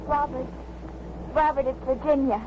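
Lovelorn, imploring young woman played by an actress, high-pitched, 1950s radio melodrama, dull old recording. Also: fairly even intonation, normal speed, lightly acted. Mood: pleading. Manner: earnest, pleading, breaking off. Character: heartbroken young sweetheart pleading with her beloved. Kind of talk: 1950s radio drama acting. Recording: muffled 1950s radio recording, faint noise.

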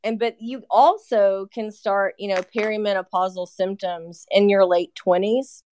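A woman's voice: medium pitch, steady tone, unhurried, a little mellow. A woman in her thirties, a nurse practitioner guest, explaining in casual chat with lots of "you know" fillers. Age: 30s. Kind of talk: casual podcast interview.

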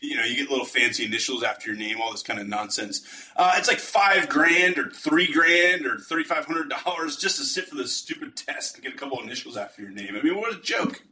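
Bright-voiced man hosting, opinionated. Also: mid-range voice, fairly quick, recorded from a distance, low-quality recording. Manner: opinionated, scoffing asides. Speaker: podcast host. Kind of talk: conversational podcast interview.

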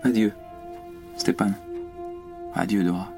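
A man acting a fervent, torn young idealist revolutionary in an audio drama, halting and emotional. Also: fairly low pitch, steady tone, music in the background. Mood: solemn farewell.

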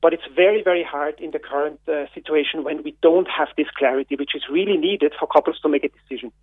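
A man with an Austrian accent speaks on a radio phone-in as a guest. He talks haltingly, with many fillers and repeated words, in a slightly high voice. The sound is dry and tinny, like a phone line. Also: slightly rough, no room sound. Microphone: telephone.

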